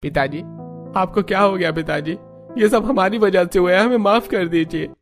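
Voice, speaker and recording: A man's voice: high voice, moderate pace, faint music behind, lightly acted. A man playing a remorseful young village husband and son in a cartoon story, earnest and pleading. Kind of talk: Hindi cartoon story acting.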